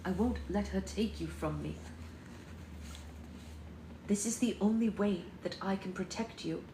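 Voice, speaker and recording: A woman voicing a young man who speaks softly and tearfully in a storybook reading. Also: medium pitch, a little slow, roomy sound, slight background noise, lightly acted, poor audio. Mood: sorrowful. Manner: soft, tearful. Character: young man, tearful groom leaving his bride.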